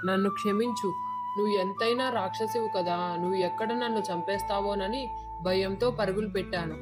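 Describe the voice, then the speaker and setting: An earnest young village man looking for work, acted by a woman, speaks at a middling pitch. Light music plays behind this storybook character. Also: brisk, natural voice. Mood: apologetic, friendly. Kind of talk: dramatised village moral tale.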